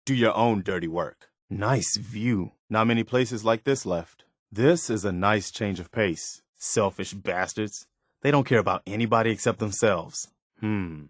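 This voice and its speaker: A man's voice: on the low side, a little slow, dry recording. Loyal soldier companion, male, earnest, direct, battle-weary, close dry recording, video game dialogue. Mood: calm, wry. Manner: earnest, direct, military phrasing. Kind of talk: scripted game dialogue and combat barks.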